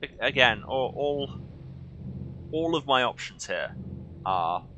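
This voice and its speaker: A man's voice: medium pitch, expressive, slow, dull audio, noisy background. A man streaming a video game with casual, wry, grumbling commentary and a sardonic way of talking.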